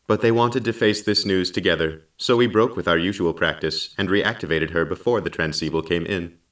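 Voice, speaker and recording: A man narrating a story calmly and patiently. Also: low-pitched, a little fast, far from the mic. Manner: calm, patient explaining.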